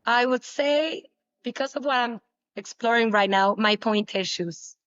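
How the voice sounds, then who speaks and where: A young woman whose native language is Spanish, answering interview questions casually, with many halting restarts. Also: a little high, a bit bright, no echo, lo-fi sound. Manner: halting, repeats words, laughs often. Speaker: guest, pole dancer.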